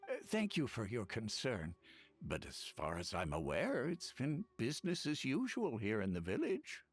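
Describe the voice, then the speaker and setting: Husky, wavering old village grandfather. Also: mid-range voice, huge pitch swings, normal speed, soft background music. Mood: mildly puzzled. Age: older. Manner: courteous, hesitant. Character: forgetful, polite elderly village grandfather. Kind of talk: video game voice acting.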